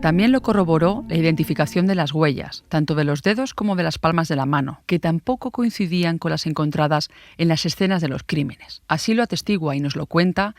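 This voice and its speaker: A woman's voice: low voice, expressive, brisk, hardly any pauses, darkish tone, dry recording, faint music behind. A woman with a Spain accent presenting a true crime podcast in scripted narration with a conversational storytelling manner.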